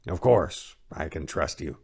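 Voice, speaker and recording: A man voicing a wise old prophet in a story reading, a stern but fond mentor speaking in a measured, earnest way. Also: very deep voice, animated, vocal fry, darkish tone, slightly rough, full character voice. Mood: fond, confiding.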